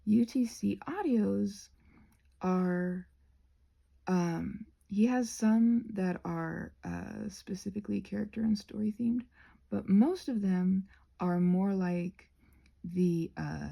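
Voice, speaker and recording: A woman rambling casually as she crafts for her ASMR channel, halting and self-deprecating, with a husky voice that often drops toward a whisper. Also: medium pitch, mellow. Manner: halting, meandering, self-deprecating, searching for words.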